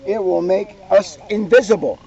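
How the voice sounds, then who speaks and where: A man's voice: high-pitched, moderate pace, highs cut off, crowd noise. A man voicing an earnest boy mad scientist from a storybook in a friendly, matter-of-fact way. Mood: confident.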